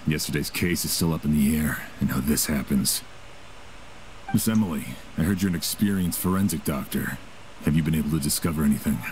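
A man's voice: extremely low pitch, fairly quick, darkish tone, a little hiss or hum, low-quality recording. A man voicing a grave, formally polite sheriff in a video game, with a serious manner.

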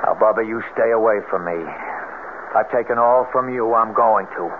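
Casting: male actor as brooding recluse, fairly low voice, terse and reserved, 1970s radio drama, noisy narrow-band sound. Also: moderate pace, lightly acted. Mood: tense, resentful. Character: guarded, brooding scarred swamp hermit. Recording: tinny, noisy old radio broadcast.

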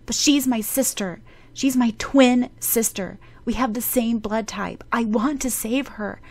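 A woman voicing a seven-year-old boy who is protective of his twin, speaking in a gentle, reassuring way. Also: on the high side, measured pace, pitched above the performer's own voice. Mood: pleading.

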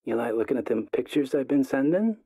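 A man's voice: medium pitch, expressive, fairly quick, dark tone, lightly acted. An actor playing a creepy, coaxing male stranger on the phone in an audio drama, hesitant and insinuating.

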